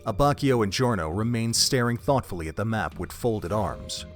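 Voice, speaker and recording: A man's voice: lowish voice, lively intonation, normal speed, soft background music. A man reading scripted story narration as the narrator of an audio drama.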